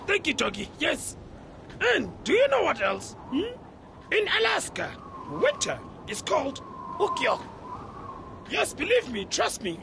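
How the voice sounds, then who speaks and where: A man voicing an eager, chatty furry male monster who speaks formally and exclaims loudly. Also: very high-pitched, creaky, slight background noise, heavily acted.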